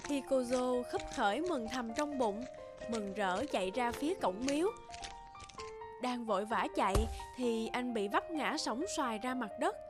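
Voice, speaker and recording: A woman tells a scripted folktale for children in a high voice. Music plays clearly behind her narration. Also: measured pace. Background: background music.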